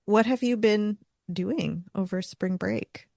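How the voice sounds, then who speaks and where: Slow, gravelly-voiced woman with a cold. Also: medium pitch, dark tone, no room sound, clean studio sound. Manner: slow, laughing often. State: has a cold, wheezy. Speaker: podcast co-host, university music teacher. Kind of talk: casual chat over a video call. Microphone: remote call mic.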